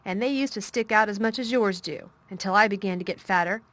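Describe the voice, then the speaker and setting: A woman's voice: medium pitch, a little fast, fairly bright tone, no room sound, close to an everyday voice. A woman voicing a fierce, bossy little girl in a temper, scolding and commanding.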